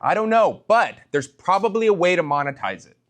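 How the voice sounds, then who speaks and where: A man's voice: high voice, big pitch swings, a little fast, fairly bright tone. Man giving a conversational tech talk. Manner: rhetorical questions, addresses audience directly. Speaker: startup founder, conference speaker. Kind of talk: conversational tech meetup presentation.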